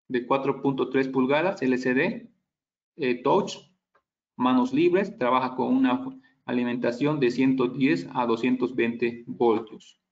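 A man giving a technical slide presentation as a product trainer, halting and explanatory, checking for agreement with tag questions. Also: mid-range voice, lo-fi sound.

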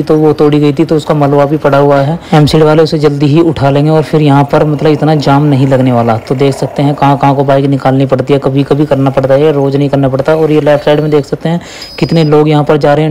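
Casting: young Indian man, slightly high flat voice, casual road commentary, faint traffic noise. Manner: chatty running commentary on the road. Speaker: motovlogger. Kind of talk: casual narration while riding a motorbike. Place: on a motorbike on city roads outdoors. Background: traffic and engine sounds.

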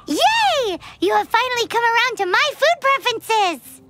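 Bubbly teen girl chef for a game: very high, swooping delivery, music underneath. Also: moderate pace, full character voice. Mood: excited. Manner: bubbly, eager, expressive. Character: cheerful, excitable teenage girl chef. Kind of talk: video game character acting.

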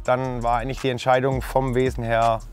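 A man in his thirties calmly explaining how he chose his dog, in an outdoor interview. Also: medium pitch, a little fast. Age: 30s. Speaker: dog owner. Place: outdoors on a country path. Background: soft background music.

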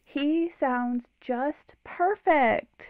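A woman's voice: high voice, extremely slow, no room sound. A woman playing a breezy, sardonic young girlfriend in an audio drama, teasing, through a phone filter. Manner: drawling, teasing. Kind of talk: modern audio drama acting. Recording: tinny narrow phone line.

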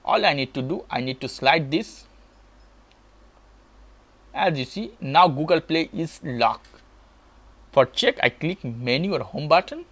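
A man's voice: fairly high pitch, lively intonation, measured pace, pauses often, fairly bright tone, breathy edge. A man hosting a step-by-step tech tutorial in short, simple phrases, with non-native English phrasing. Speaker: tutorial host.

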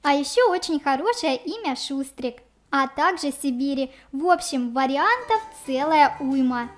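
A woman's voice: high-pitched, expressive, a little slow. A woman co-hosting game commentary for children in a chatty, exclamatory way, talking to the viewers. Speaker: co-host of a children's let's play.

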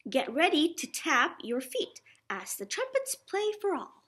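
A young woman teacher reading a children's picture book aloud in an animated, instructive way. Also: high voice, measured pace. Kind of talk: children's picture-book read-aloud with sing-song refrains. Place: small indoor classroom.

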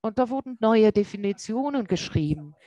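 A woman interpreting a lecture live, speaking haltingly and often correcting herself mid-word. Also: medium pitch, expressive, mellow, no room sound. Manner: halting, with frequent self-corrections. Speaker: simultaneous interpreter.

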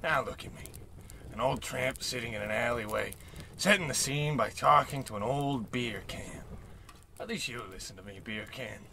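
A man playing a wistful, excitable old tramp in a radio comedy, folksy, theatrical and rambling. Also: medium pitch, normal speed, gravelly, background noise, lightly acted. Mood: wistful, grumbling. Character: down-on-his-luck old tramp, failed vaudevillian, wistful and excitable. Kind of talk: old-time radio comedy play acting.

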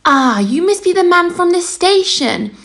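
A woman's voice: very high voice, very animated, unhurried, gravelly, a bit bright, heavily acted. A woman playing a poised, teasing young society lady in a 1930s radio drama. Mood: teasing, gracious.

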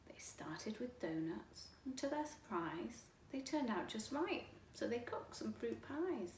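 A woman in her forties reading a rhyming children's storybook aloud with expressive, deliberate phrasing. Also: a little high. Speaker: storytime reader. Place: living room, indoors. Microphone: camera a few feet away. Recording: echoey room, distant mic, faint noise.

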